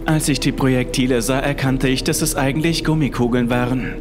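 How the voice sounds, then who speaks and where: A man's voice: mid-range voice, fairly even intonation, a little fast. A man reading a scripted first-person horror story as its narrator. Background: music.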